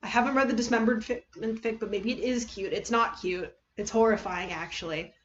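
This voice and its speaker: A woman chatting casually to viewers as a livestream host, halting and rambling, often trailing off and correcting herself. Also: a little high, highs cut off, slight background noise. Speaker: livestream host reading fan fiction.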